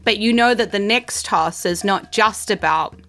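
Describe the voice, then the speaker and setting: A woman's voice: mid-range voice, measured pace, a bit bright, soft background music. A woman improvising as game master, conversational and encouraging. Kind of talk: improvised tabletop game narration.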